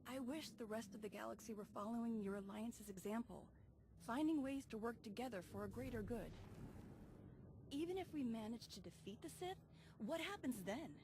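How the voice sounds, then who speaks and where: A woman's voice: a little high, normal speed, natural voice. A woman voicing an eager, idealistic young Jedi in a video game, earnest and wide-eyed in manner. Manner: enthusiastic, earnest. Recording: noisy game audio capture.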